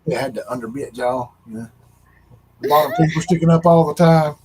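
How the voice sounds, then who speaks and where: A man's voice: a little high, fairly quick, darkish tone, poor audio. A man with a Southern US accent co-hosting a livestream, telling rambling, joking stories in a folksy, casual way. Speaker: livestream co-host. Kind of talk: casual chat and storytelling. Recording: noticeable background noise.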